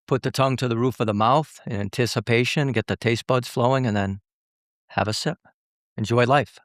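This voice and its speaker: A man hosting a podcast interview, speaking courteously in halting phrases with pauses. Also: mid-range voice, fairly even intonation, no room sound, clean studio sound. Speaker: podcast host.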